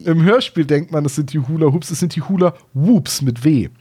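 A man's voice: fairly high pitch, a little fast, warm tone, slightly rough. A man in his thirties chatting casually as a podcast co-host, explaining things in careful detail. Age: 30s. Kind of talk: casual discussion of audio dramas and books.

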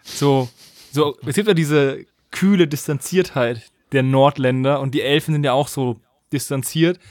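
Brief: male player, playful banter, mid pitch, dry recording. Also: moderate pace, a little mellow, no room sound. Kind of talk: casual chat.

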